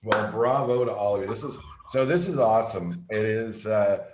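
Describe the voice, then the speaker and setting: A man's voice: lowish voice, lively intonation, normal speed, a little mellow. Enthusiastic man host in an echoey room. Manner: enthusiastic, gushing. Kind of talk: casual video interview.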